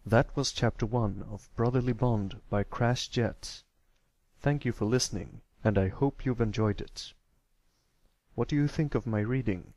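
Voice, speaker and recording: Expressive male storyteller with a dry recording. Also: mid-range voice, measured pace, no echo, rough recording. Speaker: amateur audiobook narrator.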